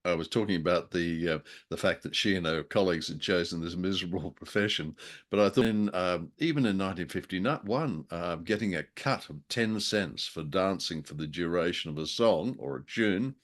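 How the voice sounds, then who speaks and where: A man with a very low voice co-hosts a casual review conversation. He talks in a wordy, hesitant way with a wry touch, feeling his way through his points. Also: moderate pace. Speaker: co-host of a radio drama review show.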